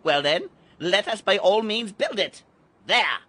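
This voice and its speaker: Spooky, excitable ringleader for audio drama: raised pitch, measured pace, exclamatory and theatrical. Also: male voice, exaggerated voice. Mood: urgent, inspired. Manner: exclamatory, declamatory, urging. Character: excitable, theatrical male ringleader guide, eerie and gleeful.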